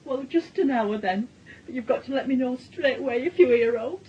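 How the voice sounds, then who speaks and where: An actress playing a worried, worn-out middle-aged mother in a radio drama, very husky and breathy, with a Northern English accent. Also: high voice, normal speed, warm tone, lo-fi sound. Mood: weary. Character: anxious, worn-out mother of an accused son.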